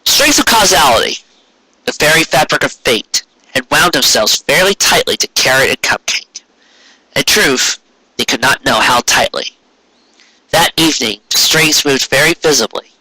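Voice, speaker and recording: A man reading a story aloud as an expressive narrator. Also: mid-range voice, moderate pace, husky, no room sound, rough recording. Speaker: narrator, fan fiction reader.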